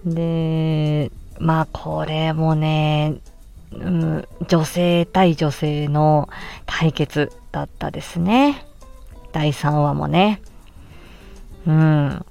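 A woman hosting a casual solo podcast, chatting in an animated way with emphatic exclamations as she looks back on a project. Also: low-pitched, drawn-out words, vocal fry, soft background music. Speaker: podcast host and amateur voice actress. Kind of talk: casual solo chat looking back on an audio drama.

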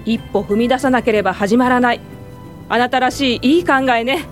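A confident suffragist strategist acted by a woman in a Japanese radio drama. Her voice is high, and she persuades with shrewd, theatrical assurance. Faint music sits behind her. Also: brisk, natural voice. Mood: approving, decisive.

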